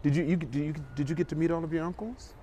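A man interviewing a guest, curious and eager, cutting in often with questions and quick reactions. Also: fairly high pitch, steady tone, mellow, slight background noise. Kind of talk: casual interview conversation.

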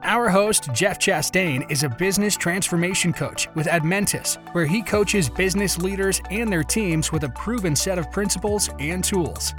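A man's voice: sits high, normal speed, hardly any pauses. Announcer man over music. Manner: polished, even announcer delivery. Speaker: show announcer. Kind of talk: scripted show intro.

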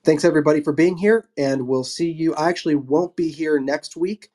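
A man hosting a casual online discussion panel, wrapping up and thanking speakers in a relaxed, rambling way. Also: a little high, a little fast. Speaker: host.

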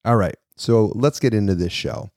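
Casual low-voiced male podcast host. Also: moderate pace, no echo, studio quality. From New York. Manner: relaxed, rambling with fillers.

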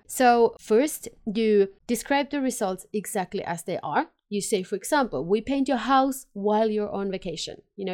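A woman's voice: medium pitch, a little mellow. A woman from Finland with a Finnish-Swedish accent, hosting a solo business podcast and coaching listeners directly, stressing points by repeating words. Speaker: podcast host and business consultant.

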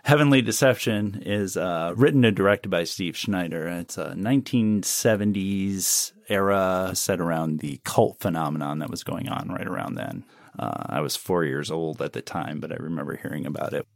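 A man's voice: sits low, moderate pace, creaky, dry recording, clean studio sound. A middle-aged man from the United States, an audio drama producer, talking casually in an interview with fillers and trailing asides.

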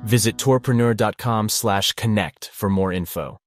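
A man with a fairly low voice reads a scripted promo in a smooth, persuasive way. Clear guitar music plays behind him the whole time. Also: normal speed. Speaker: ad announcer. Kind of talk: scripted ad read.

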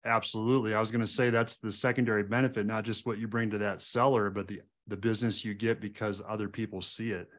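A man with a fairly low voice hosts a relaxed interview. He speaks in short, friendly prompts and agreeing replies, keeping the guest talking. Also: fast, few pauses. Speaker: podcast host. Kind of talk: casual interview.

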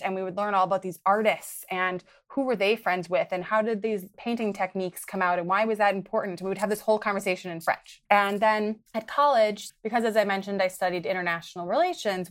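A young woman from Wisconsin talks as a podcast guest, earnest and conversational. Her voice sits at an ordinary middle pitch. She gives advice in a frank way. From Wisconsin, United States. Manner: earnest, advice-giving, occasional laughs. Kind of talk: conversational interview.

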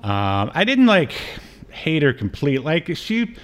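A man co-hosting a podcast, chatting casually about television in a hesitant, halting way as he recaps. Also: fairly high pitch, sing-song, a bit bright. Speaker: podcast co-host. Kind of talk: casual TV review chat.

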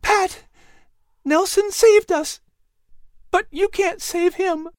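A man's voice: very high-pitched, slow, dry recording, studio quality, voice pushed up. A man voicing a frightened young mother, urgent and pleading, in a storybook. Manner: urgent, raised voice.

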